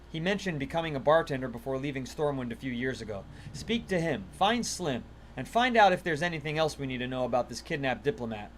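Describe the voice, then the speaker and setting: A man voicing a serious male spymaster, reading quest orders in a measured, businesslike way. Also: fairly high pitch, a little hiss or hum, voice pushed down, close to an everyday voice. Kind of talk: reading game quest text aloud in character.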